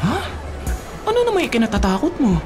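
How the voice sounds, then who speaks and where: Earnest Filipino boyfriend, swooping pitch. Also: male voice, very animated, quick pace. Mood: concerned, pleading. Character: sweet, earnest young boyfriend courting his girlfriend. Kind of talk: Filipino radio drama acting. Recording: music playing behind the voice.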